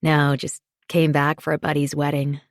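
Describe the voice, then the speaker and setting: A woman's voice: deep voice, monotone, moderate pace, no room sound, studio quality. A woman voicing a friendly, teasing young man in an audiobook thriller. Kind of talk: audiobook dialogue reading.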